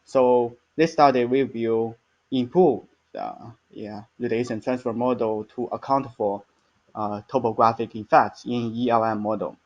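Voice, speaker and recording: A man giving a technical slide presentation as a research scientist, speaking in a halting, hesitant way. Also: mid-range voice, steady tone, darkish tone, muffled recording.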